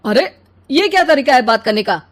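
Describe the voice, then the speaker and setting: A woman voicing a grumpy, demanding husband and father in an animated family story, complaining and dismissive. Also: high voice, normal speed. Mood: puzzled.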